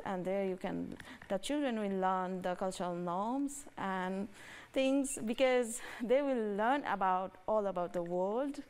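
A woman's voice: mid-range voice, smooth. A young woman from Bangladesh, a student guest in a TV studio interview, speaking earnestly. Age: young adult. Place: TV news studio.

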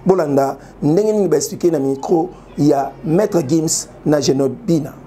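A man's voice: on the high side, normal speed, warm tone, a little hiss or hum. A man in his forties with a Congolese accent introducing a segment as a magazine show presenter. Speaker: programme presenter.

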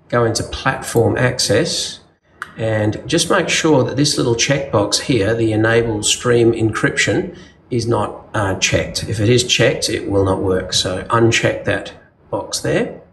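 A man's voice: on the low side, fairly bright tone, slight background noise. A man with an Australian accent giving casual, practical step-by-step instructions as an installer showing how something is set up. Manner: plain, practical, informal. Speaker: security installer giving a tutorial. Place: indoors at a wall-mounted monitor.

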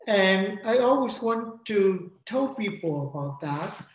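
A middle-aged man with a Vietnamese accent, a composer speaking haltingly on a video call. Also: sits high. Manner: halting, searching for words. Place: home room. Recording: echoey room, faint noise.

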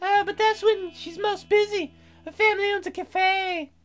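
A man voicing a shy, flustered young woman musician in a hesitant falsetto, in a visual novel game. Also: fairly even intonation, moderate pace, fairly bright tone, faint music behind.

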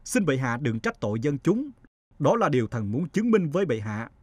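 A man playing a wise elderly chancellor in an animated folktale, speaking formally and deferentially in a measured way. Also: medium pitch, husky, no echo. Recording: music under the voice.

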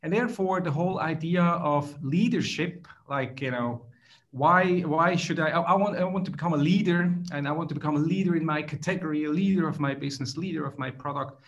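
A man's voice: a little high, a little fast, dull audio. A middle-aged man from Switzerland with a Swiss accent, answering interview questions thoughtfully over a video call, often restarting phrases. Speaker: guest author. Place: plain room indoors.

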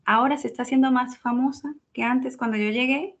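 Young woman with a gravelly voice. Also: high-pitched, brisk, dull audio. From Venezuela (Caracas). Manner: chatty, lively, laughs easily. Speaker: interview guest. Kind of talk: casual interview chat.

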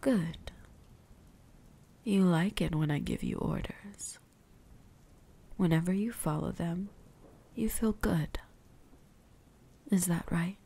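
A breathy, husky whisper with a creaky edge, dark and soft. It is a possessive vampire huntress played by a woman in an ASMR roleplay, slowly teasing and commanding her prey with quiet menace. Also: lowish voice, exaggerated voice. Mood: hypnotic, commanding.

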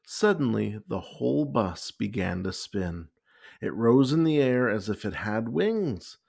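A man reading a children's picture book aloud with expressive, deliberate storytelling. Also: fairly low pitch, creaky, slightly rough. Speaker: story time reader.

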